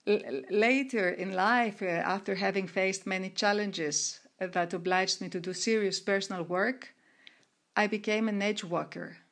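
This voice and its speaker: A middle-aged woman from Greece, answering interview questions in a measured way with frequent restarts and fillers. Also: medium pitch, fairly even intonation, fairly bright tone. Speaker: guest, researcher and coach of women entrepreneurs.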